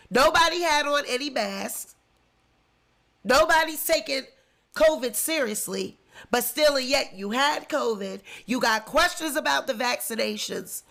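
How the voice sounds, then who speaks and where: Congested woman ranting, high gravelly voice, slow and emphatic. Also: fairly bright tone. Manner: indignant, emphatic, repetitive. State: congested with flu. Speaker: commentary vlogger. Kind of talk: opinionated celebrity commentary.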